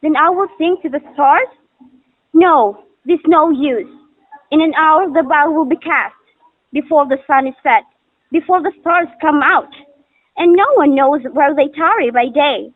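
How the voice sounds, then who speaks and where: High-voiced anxious young daughter, played by a woman. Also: a little slow, dry recording. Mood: anxious, pleading. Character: young devoted daughter of a bell maker, anxious and pleading. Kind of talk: stylized stage drama recital of formal archaic lines. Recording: muffled phone-like audio.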